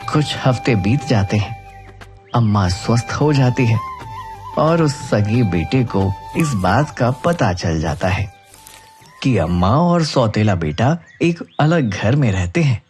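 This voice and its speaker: A man's voice: medium pitch, sing-song, slow, over music. A man narrating a scripted children's moral story.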